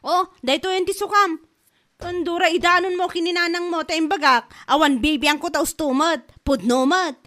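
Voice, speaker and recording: A woman acts a snippy, boastful female shopkeeper for a comedy drama. The voice is very high and bright, scornful in manner. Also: steady tone, normal speed. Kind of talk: Filipino comedy audio drama.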